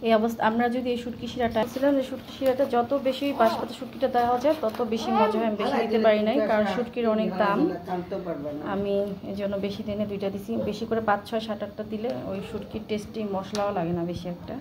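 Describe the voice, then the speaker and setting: Higher-voiced Bangladeshi woman chatting while cooking. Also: little pitch movement, fast talker, echoey room, crowd noise. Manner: chatty, colloquial, explaining as she cooks. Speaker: home cooking vlogger and mother. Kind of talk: casual cooking vlog narration. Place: home kitchen while cooking. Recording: clear background noise of sizzling and stirring.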